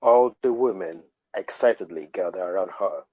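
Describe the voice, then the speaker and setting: A man's voice: low voice, moderate pace, darkish tone, dry recording, lo-fi sound. A man reading scripted narration for an audio play.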